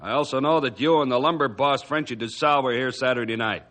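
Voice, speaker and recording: An actor playing a scornful, corrupt small-town mayor, blustering and dismissive, in an old-time radio adventure. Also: male voice, medium pitch, fast talker, fairly bright tone, lightly acted. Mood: irritated, dismissive.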